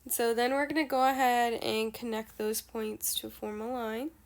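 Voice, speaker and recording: A woman's voice: on the high side, little pitch movement, normal speed. A young woman math teacher with a nasal, stuffy voice, patiently explaining a lesson step by step. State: nasal from allergies.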